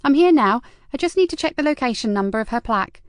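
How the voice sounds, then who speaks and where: A woman's voice: high voice, lively intonation, fast talker, no echo, studio quality, pitched above the performer's own voice. A woman voicing an eager, self-important young female receptionist who turns frightened.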